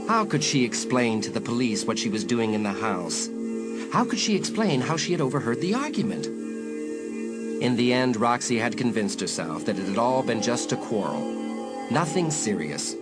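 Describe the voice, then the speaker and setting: Male suspense narrator with animated delivery. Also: medium pitch. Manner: measured, dramatic pauses. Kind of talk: suspenseful dramatised audiobook narration. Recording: loud music behind.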